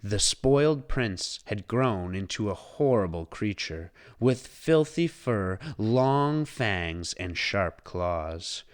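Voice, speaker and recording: A man reading a children's storybook aloud as scripted, expressive narration. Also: lowish voice, takes it slowly, few pauses.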